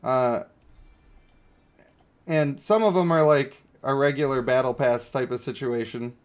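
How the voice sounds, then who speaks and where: A man's voice: medium pitch, unhurried. A man giving casual, opinionated livestream commentary on a mobile game, blunt and given to exasperated ranting. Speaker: game streamer.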